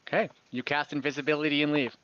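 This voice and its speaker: Bright-voiced man running a tabletop game. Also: a little high, flat delivery, dry recording, muffled recording. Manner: halting, informal. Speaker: game master. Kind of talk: improvised tabletop game narration.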